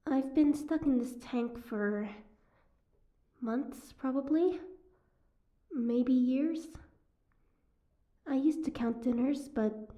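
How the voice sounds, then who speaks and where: A woman's voice: sits high, takes it slowly, pauses often, mellow. A woman playing a sardonic, guarded captive mermaid in an audio roleplay, with a wry, teasing, prickly manner. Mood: resigned, bitter.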